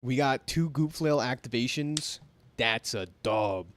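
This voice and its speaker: A man's voice: medium pitch, a little slow, a little hiss or hum. An American man chatting casually on a live gaming stream, laid-back and laughing often as he reads chat. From United States. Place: home near a big city.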